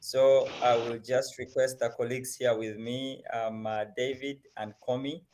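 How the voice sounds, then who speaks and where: A man's voice: medium pitch, little pitch movement, moderate pace, a little mellow, a little hiss or hum. A man hosting an online panel discussion, who stammers at the start of his sentences. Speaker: host.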